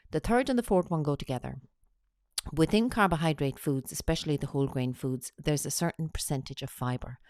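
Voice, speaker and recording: A woman talks through a slide presentation. She has a cold and explains each point in a steady, teacherly way. The sound is close and dry, with no room around it. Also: deep voice, no room sound. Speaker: weight-loss coach.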